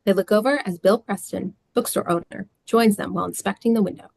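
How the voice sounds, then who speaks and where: A woman's voice: medium pitch, brisk, gravelly, darkish tone, husky, dry recording. A woman reading scene descriptions and stage directions as the narrator of a script.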